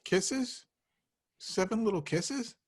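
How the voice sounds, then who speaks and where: A man's voice: high voice, expressive, slow, darkish tone, husky, exaggerated voice. A man playing an awkward, lovesick young slacker in a table read, plaintive and hesitant. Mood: mopey, whiny. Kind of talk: table read of a comedy film script.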